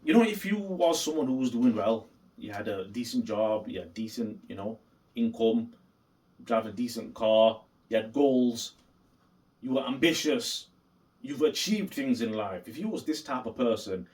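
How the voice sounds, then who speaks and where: A man giving opinion commentary as a reaction video host, speaking emphatically and deliberately and repeating points for stress. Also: mid-range voice, animated, a little mellow. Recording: voice distant from the mic.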